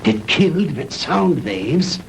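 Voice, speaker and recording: An actor playing a grandiose older Nazi scientist in a 1960s B-movie, lecturing pompously and deliberately. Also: male voice, fairly high pitch, big pitch swings, creaky, exaggerated voice, rough recording. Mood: boastful, wistful. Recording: hissy old 1960s film soundtrack.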